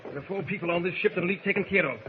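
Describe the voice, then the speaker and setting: A man acts a ruthless sailor leading a mutiny in an old radio play. He snaps out orders and threats quickly in a high-pitched, bullying voice. The recording is dull, with clear hiss. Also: close to an everyday voice. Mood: commanding. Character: bossy, ruthless male mutineer ringleader, rough sailor. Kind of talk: 1940s radio adventure acting.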